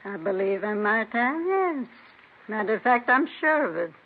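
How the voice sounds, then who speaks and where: A woman's voice: on the high side, sing-song, normal speed. Chatty landlady on tinny old radio. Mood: forthcoming. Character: chatty, particular apartment building manager. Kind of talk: 1950s radio drama acting. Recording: narrow, slightly noisy old radio recording.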